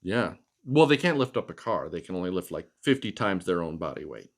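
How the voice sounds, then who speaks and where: A man reading a children's picture book aloud as a storytelling father, expressive and explaining things to a child. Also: low voice, a little fast.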